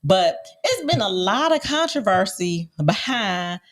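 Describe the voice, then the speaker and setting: A woman's voice: mid-range voice, big pitch swings, a little slow. An American woman co-hosting a casual panel podcast, telling things emphatically and repeating herself for effect. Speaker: podcast co-host.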